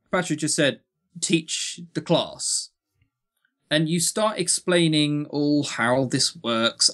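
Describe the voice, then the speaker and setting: A man co-hosting a podcast, giving his opinions in a blunt, sardonic, casual way. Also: on the high side. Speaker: podcast co-host.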